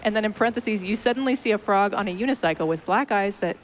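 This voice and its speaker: A woman's voice: medium pitch, fast, rough recording. Young woman reading donations off a list, amused, a little background hum. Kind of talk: reading donation messages aloud.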